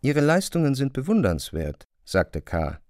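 A man narrating and playing a polite newcomer in a deliberate, formal literary reading. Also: medium pitch, huge pitch swings, darkish tone, a little hiss or hum. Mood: composed.

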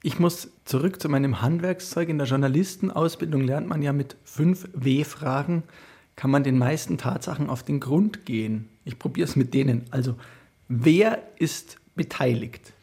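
A man working as a radio interviewer, speaking in a measured, articulate way with prepared, conversational questions. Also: medium pitch, dark tone, breathy edge.

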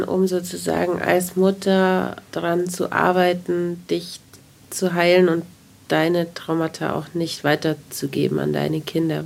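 A woman interviewing and narrating a feature, asking calm, measured questions. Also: deep voice, vocal fry.

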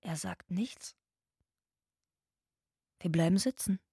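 An actress playing a guarded, bitter migrant woman with a husky voice, speaking in short insistent phrases in a monologue. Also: on the low side, slow, heavy background noise. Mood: tender, subdued. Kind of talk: stage-like monologue in audio drama.

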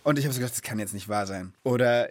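An encouraging host of a children's podcast speaks in a casual, reflective way. The pitch sits in the middle and the delivery is conversational. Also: fast, no room sound.

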